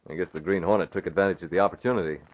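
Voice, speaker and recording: An articulate, civic-minded newspaper publisher in a golden-age radio drama. The voice is fairly low and authoritative, and the recording is thin and narrow like a phone line. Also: male voice, rapid-fire. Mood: calm, explaining. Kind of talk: old-time radio drama acting.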